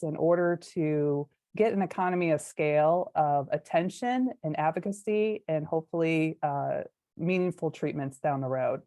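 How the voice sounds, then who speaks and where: Low, mellow-voiced woman on a video call. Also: no echo, clean studio sound. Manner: earnest, with many uhs and ums. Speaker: foundation board member. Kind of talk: short organization overview on a webinar. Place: her home in the evening. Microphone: computer mic on a video call.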